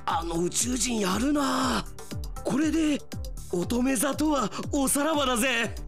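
A man playing a brash, lazy young man in a comic radio drama, with loud, blunt, slangy retorts. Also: very high voice, expressive, measured pace, vocal fry, faint music behind, pitched above the performer's own voice, exaggerated voice. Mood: thrilled.

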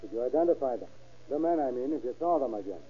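An actor playing a businesslike police desk officer in a 1930s radio drama, terse and matter-of-fact. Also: male voice, mid-range voice, expressive, fast, slightly rough. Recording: tinny, narrow old radio recording.

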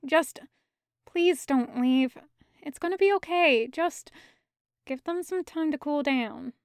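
A woman voicing an awkward, hesitant man who speaks in halting, reassuring phrases as a peacemaker in an audiobook. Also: sits high, dry recording, studio quality, voice pushed up. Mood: awkward, placating. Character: awkward, hesitant man gently trying to calm things. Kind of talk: audiobook character acting.

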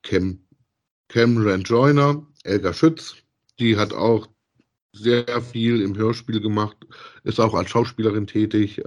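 A middle-aged man co-hosting a casual review podcast, speaking in a halting, hesitant way. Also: on the low side. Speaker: podcast co-host. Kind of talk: casual review chat about audio dramas.

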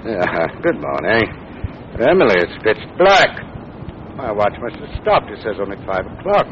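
An actor playing a dry, patient middle-aged judge and father in 1940s radio comedy, with an American accent and a measured, wry manner. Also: fairly low pitch, big pitch swings. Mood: groggy, grumbling. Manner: measured, wry, mildly exasperated. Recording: muffled, noisy old radio recording.

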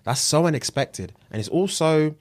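A man's voice: a little high, animated, moderate pace, dry recording, clean studio sound. A man with a London British accent, co-hosting a podcast in a casual, slangy conversational way, repeating phrases for emphasis. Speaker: podcast co-host.

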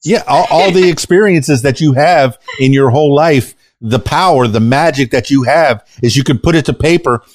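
A man's voice: mid-range voice, normal speed, few pauses, no echo. A man hosting a podcast interview, chatting loosely and rambling with frequent restarts. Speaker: podcast host.

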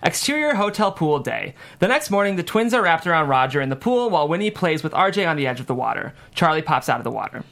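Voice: medium pitch, sing-song, fast, hardly any pauses, fairly bright tone. A narrator reading scene headings and stage directions at a script table read.

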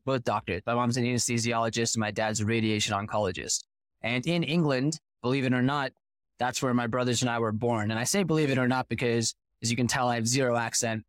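Man, 30s, founder-guest from Boston, mid-pitched, fluent storyteller, dry close sound. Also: fast, no room sound. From United States (Boston). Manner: fluent, animated storyteller who poses rhetorical questions. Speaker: podcast guest, company founder. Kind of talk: casual interview storytelling.

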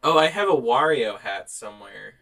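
Male co-host, bright edgy tone, mid pitch, opinionated and casual. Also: lively intonation, normal speed, creaky. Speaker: podcast co-host. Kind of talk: casual chat about movies.

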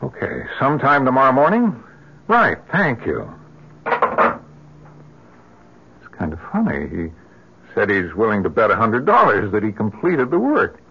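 A middle-aged American man playing a troubled, grieving husband in an old-time radio drama, speaking in an earnest, halting, emotional way. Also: mid-range voice, wildly swooping pitch, very noisy. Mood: puzzled, narrating. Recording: muffled vintage radio recording.

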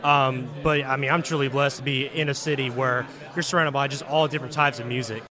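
A twenty-one-year-old man, talking in a thoughtful, measured way about his band. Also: medium pitch, steady tone, crowd noise. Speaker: band member, guest. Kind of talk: casual interview chat.

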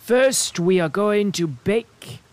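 A man voicing a wicked old witch who coaxes and then barks orders in a high, harsh voice. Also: animated, slow. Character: wicked old witch woman, scornful, greedy.

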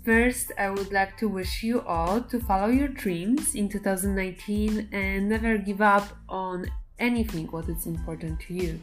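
A woman's voice: medium pitch, fairly even intonation, normal speed, faint music behind. A woman hosting an art podcast, reading a scripted introduction and then asking questions with some hesitation. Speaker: podcast host. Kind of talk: podcast interview.

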